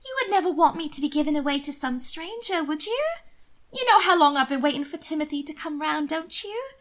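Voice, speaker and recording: Woman voicing a wheedling young noblewoman, high and swooping. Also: animated, brisk. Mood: pleading. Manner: cajoling, pleading, emphatic. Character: sweetly wheedling, manipulative young noblewoman, pampered twin sister. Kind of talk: expressive audiobook character reading.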